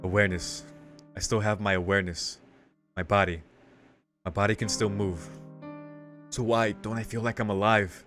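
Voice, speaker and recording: A man's voice: on the low side, flat delivery, moderate pace, long pauses, a bit bright. A man streaming a visual novel, reading the story text aloud and reacting in casual, slangy language. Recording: music behind the voice. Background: game music.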